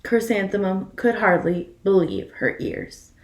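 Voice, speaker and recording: A woman's voice: mid-range voice, expressive, unhurried. A woman reading a children's picture book aloud expressively as a storyteller, putting questions to her listeners. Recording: echoey room.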